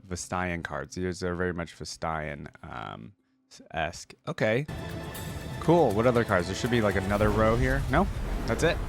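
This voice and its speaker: Guy doing casual game reaction commentary, kinda thinking out loud, some music under him. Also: lowish voice. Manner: informal, thinks aloud. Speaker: reaction video host, gamer.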